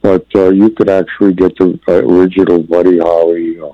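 A man calling into a radio show, talking slowly and haltingly with many fillers as he shares memories. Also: low voice, level tone, no echo. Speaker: caller. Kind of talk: phone-in call. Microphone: telephone. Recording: dull lo-fi phone line.

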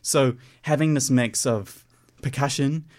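A young man with a New Zealand accent, a percussionist chatting casually in a radio interview, relaxed and full of ums. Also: medium pitch, measured pace. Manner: relaxed, hesitant with many ums. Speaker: percussionist guest.

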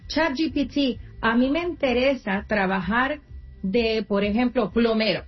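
A woman on an interview gives career advice in an earnest, explanatory way and sometimes restarts mid-word. Her voice sits a little high. The recording sounds muffled and lo-fi. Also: a little slow, faint music behind. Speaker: guest, nonprofit career coach.

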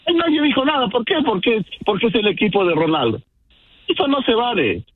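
Insistent caller from Peru on a dry phone line, husky, lively pitch. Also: male voice, fairly quick, no room sound. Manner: insistent, argumentative. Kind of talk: call-in phone argument.

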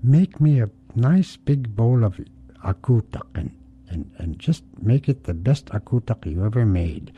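A man's voice: mid-range voice, lively intonation, unhurried, narrow, tinny audio, a little hiss or hum, voice pushed up, natural voice. A man voicing a lazy male raven trickster who stammers eager promises in a raised voice. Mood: bossy.

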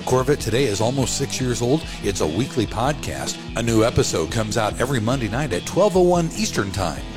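A man reading a scripted promo for his own podcast as a friendly sales pitch. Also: expressive, fairly quick, music in the background. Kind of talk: scripted ad read.

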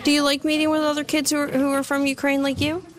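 Female radio reporter, fairly high voice, putting simple friendly questions to kids. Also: completely flat delivery, fast talker. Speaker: radio reporter interviewing children.

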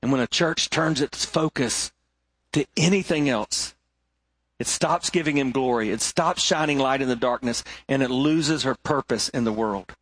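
A middle-aged male pastor preaching a sermon, swinging between rising emphasis and hushed, low moments. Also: moderate pace, breathy edge.

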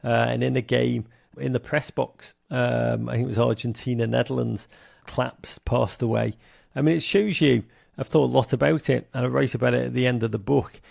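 A man's voice: mid-range voice, fairly even intonation, moderate pace, gravelly, a little mellow, dry recording, studio quality. A man from England with a Liverpool accent, a soccer broadcaster and author, talking animatedly in an interview with many fillers. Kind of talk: conversational interview.

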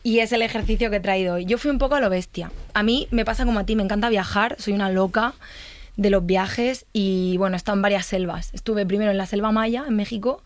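A woman's voice: medium pitch, quick pace, no echo. A woman from Murcia with a Spanish accent from Spain, a psychologist telling personal stories in casual conversation. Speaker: psychologist, guest on the show.

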